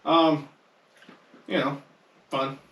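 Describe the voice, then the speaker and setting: Male podcast host, slightly higher register, casual chat, set back in a very roomy space. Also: little pitch movement, extremely slow, muffled recording, slight background noise. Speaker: podcast co-host moving the rankings along. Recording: echoey room, distant from mic.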